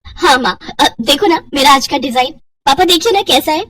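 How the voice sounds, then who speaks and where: A woman's voice: high-pitched, animated, moderate pace, a bit bright, far from the mic, pitched above the performer's own voice. A woman voicing a sweet, eager young woman in a Hindi cartoon story. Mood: cheerful.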